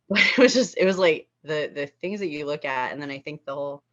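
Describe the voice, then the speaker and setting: A woman with a low voice talks casually with a group. She is giggly, often laughing through her words. The audio is dull and lo-fi. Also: fairly quick. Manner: giggly, laughs while talking. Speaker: table read cast member.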